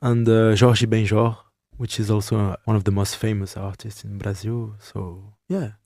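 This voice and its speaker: A young man from France who speaks natively, talking haltingly in an interview, searching for words with many pauses. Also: on the low side, little pitch movement, gravelly, a little mellow, no echo, clean studio sound. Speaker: musician guest. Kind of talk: interview answers.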